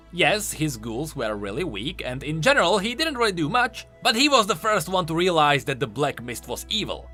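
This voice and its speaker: A man with a fairly high, forceful voice delivers game lore commentary. His pitch swings in a very animated way as he explains each point emphatically. Faint music plays underneath.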